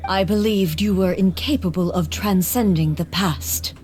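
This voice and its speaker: A woman playing a solemn, dignified warrior deity in scripted video game dialogue, formal and measured. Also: fairly low pitch, a little mellow, faint music behind, close to an everyday voice. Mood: solemn, resolute.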